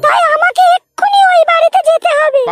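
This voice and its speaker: Piercingly high brash cartoon wife. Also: female voice, fairly quick. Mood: defiant, annoyed. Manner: brash, complaining. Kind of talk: comic cartoon dialogue. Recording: loud tinkly children's music behind. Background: tinkly children's music.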